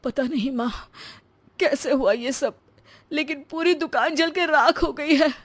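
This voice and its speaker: Lady playing a flashy husband in a moral story, high voice, emphatic n easily flustered. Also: a little slow. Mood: panicked. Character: showy, free-spending adult son and husband, easily flustered.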